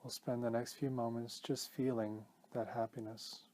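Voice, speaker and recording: A man leading a guided meditation as a teacher, speaking in a calm, gentle, measured way with pauses. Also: medium pitch, slight background noise.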